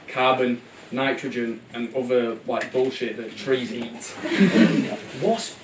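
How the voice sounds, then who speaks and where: A man's voice: mid-range voice, noisy background. A man narrating a live puppet show in an irreverent, informal, ad-libbing comedic storytelling style. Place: live show in a room, music behind. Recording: roomy, distant live capture with loud music.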